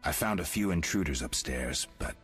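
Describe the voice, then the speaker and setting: An actor playing a gruff, guarded monster hunter in a video game, speaking in terse, deadpan lines. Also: male voice, rumbling low, normal speed, soft background music, heavily acted. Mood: stoic, terse.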